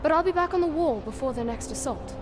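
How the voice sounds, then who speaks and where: Battle-hardened game soldier, proud, clipped, commanding, a touch high-pitched, over swelling loud music. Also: female voice, lively intonation, quick pace. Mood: defiant resolve. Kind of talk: dramatic fantasy game acting. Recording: loud cinematic music behind.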